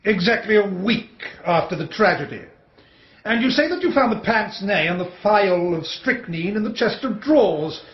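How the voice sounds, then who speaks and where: Bullying male defence lawyer for radio drama, high voice, pushy and emphatic, roomy dull sound. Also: big pitch swings, moderate pace. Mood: probing, sceptical. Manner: hectoring, emphatic cross-examining. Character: bullying male defence barrister, relentless and imperious. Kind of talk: radio courtroom drama acting.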